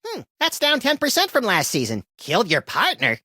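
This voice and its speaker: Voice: mid-range voice, wildly swooping pitch, a little fast, sharp tone, dry recording, studio quality, exaggerated voice. An actor voicing a mischievous, violent little rabbit creature from a cartoon-style video game, wisecracking with gleeful sarcasm. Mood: excitable.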